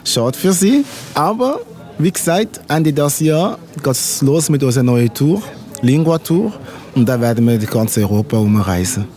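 Male musician, middle-aged, Congo-born, native Lingala, relaxed dark timbre, interviewed at an open-air festival. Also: mid-range voice, soft background music. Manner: unhurried, searching for words. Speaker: musician guest. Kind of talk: casual interview.

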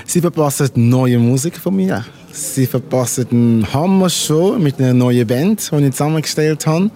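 Male musician, middle-aged, Congo-born, native Lingala, relaxed dark timbre, interviewed at an open-air festival. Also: medium pitch. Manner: unhurried, searching for words. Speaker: musician guest. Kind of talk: casual interview.

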